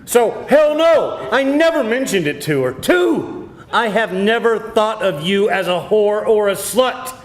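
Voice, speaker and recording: High-voiced, flustered boyfriend played by a man. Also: lively intonation, unhurried, hardly any pauses. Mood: indignant. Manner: incredulous, emphatic delivery. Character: flustered, insecure boyfriend, pleading and argumentative. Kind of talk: live screenplay table read. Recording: echoey room, live reading.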